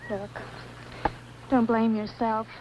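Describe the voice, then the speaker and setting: A woman's voice: on the high side, flat delivery, takes it slowly. A woman acting an earnest, supportive science team colleague in a 1950s science fiction film. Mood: consoling. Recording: dull, faintly noisy old film soundtrack.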